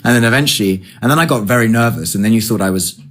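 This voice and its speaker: A young man from London with a London accent and a low, husky voice. He rambles through his story, restarting and correcting himself. When it gets exciting, he becomes animated. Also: racing. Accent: London English. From England. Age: 20s. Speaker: guest. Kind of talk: casual conversation.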